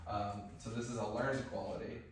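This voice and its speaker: Male dog trainer, loud voice, chatty and instructive; distant mic in a boomy room with light hiss. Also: level tone, normal speed, gravelly, heavy reverb. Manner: instructive, conversational, drops to soft commands to the dog. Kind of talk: hands-on training demonstration.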